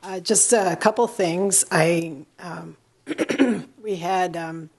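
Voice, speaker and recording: A hoarse middle-aged woman giving halting remarks to a city council, with many ums and pauses. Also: lowish voice. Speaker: county commissioner. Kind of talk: public meeting remarks. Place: council chamber.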